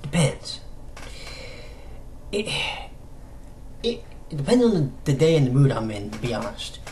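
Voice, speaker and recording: An American man giving casual fan news commentary as a solo video host, in a halting, conversational manner with rhetorical questions. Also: medium pitch, very animated, dark tone, low-quality recording. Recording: noticeable background noise.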